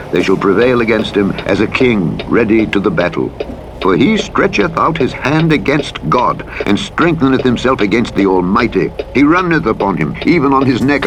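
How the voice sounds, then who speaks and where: A man narrating the Bible as an audiobook, in a solemn, formal, measured and dramatic reading style. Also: fairly low pitch. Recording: playback under loud truck engine noise. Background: truck engine and vehicle noise from a driving game.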